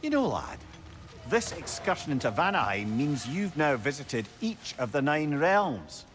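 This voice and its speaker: A man's voice: a little high, very animated, a little slow, music in the background. A man with a Scottish accent playing a wry, garrulous old sage in a video game, chatty and learned. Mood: chatty, informative. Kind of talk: video game cinematic acting.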